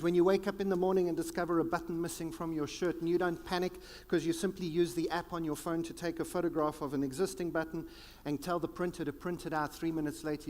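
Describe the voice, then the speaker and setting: A South African man giving a conference keynote, with dramatic pauses and a sweeping, emphatic delivery. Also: fairly high pitch, a little mellow, in a large hall. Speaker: keynote speaker. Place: large conference hall.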